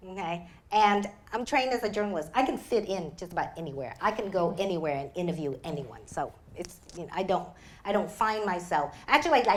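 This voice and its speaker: A woman's voice: on the low side, a little hiss or hum. A woman from Vietnam answers questions at a live event. She tells her stories with energy, laughs often and slips into voices for the people she quotes. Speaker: author guest. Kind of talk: live Q&A storytelling.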